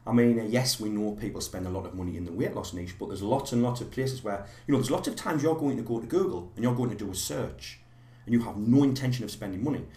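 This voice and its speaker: Hoarse man teaching a live webinar. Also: fairly low pitch, quick pace, hardly any pauses, dark tone, roomy sound. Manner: rambling, many fillers and restarts. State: slightly hoarse from a cold. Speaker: online course trainer.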